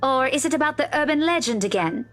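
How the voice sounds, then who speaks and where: An actress playing a level-headed, doubtful young woman in a visual novel, gently chiding in a measured way. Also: high voice, expressive. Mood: doubtful, reasoning. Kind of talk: dramatic visual novel voice acting. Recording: music under the dialogue.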